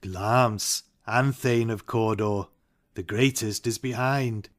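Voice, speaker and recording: An actor playing an ambitious, brooding warrior thane in a dramatic Shakespeare reading. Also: male voice, on the low side, fairly even intonation, slow pace. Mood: wondering, intent.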